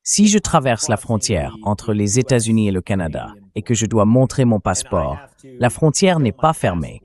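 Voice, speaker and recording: A man reading a translated political interview as a voice-over, in a measured, explanatory way. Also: fairly low pitch, darkish tone.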